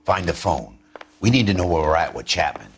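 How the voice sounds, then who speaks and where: An actor playing a gruff, terse police detective partner in a video game, matter-of-fact in delivery. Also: male voice, deep voice, lively intonation, a little fast, a little hiss or hum.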